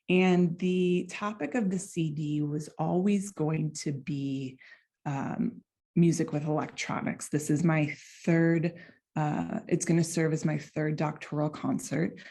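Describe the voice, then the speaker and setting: A woman's voice: sits low, mellow. A young American woman, a clarinetist and doctoral researcher, giving a conference talk in a conversational, loosely phrased way. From United States. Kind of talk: academic conference presentation.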